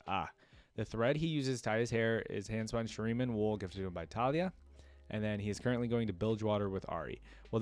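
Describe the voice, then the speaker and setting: Low-voiced man, casual reaction host. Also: a little mellow. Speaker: reaction video host and streamer. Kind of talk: casual reaction commentary, reading lore aloud.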